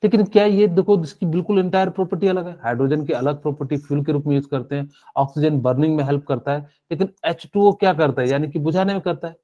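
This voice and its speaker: Male chemistry teacher, slightly high voice, mellow, filler-heavy explanations, lo-fi class audio. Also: quick pace, hardly any pauses. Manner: explains in Hinglish with many fillers, asks students questions. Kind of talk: live online class lecture.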